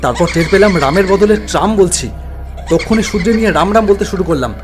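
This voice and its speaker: A man's voice: sits high, expressive, fairly quick, music in the background. A man narrating a scripted spooky story in a dramatic, suspenseful way.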